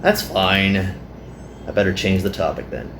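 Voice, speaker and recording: A man's voice: low voice, a little slow, fairly bright tone, echoey room, slight background noise, pitched below the performer's own voice. Man playing a weary, grumbling young anime hero.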